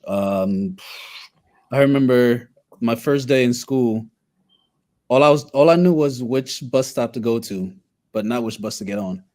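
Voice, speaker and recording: A man's voice: mid-range voice, unhurried, darkish tone. A man in his thirties who grew up in Haiti shares his life story as a podcast guest. He talks candidly and reflectively, with some wry humor, in a mid-range voice. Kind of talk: personal storytelling in conversation.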